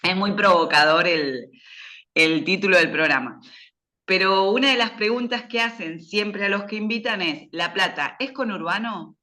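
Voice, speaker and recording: A man's voice: high-pitched, normal speed, bright, forceful tone. A man, a student speaking up in class in a chatty way.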